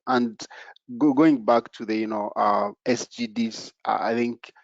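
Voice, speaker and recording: A man from Congo who grew up in Uganda, telling his story as a panelist on an online panel. He speaks haltingly, filling pauses with "uh" and "you know". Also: medium pitch, steady tone, no echo. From Democratic Republic of the Congo, raised in Uganda. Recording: muffled video call audio.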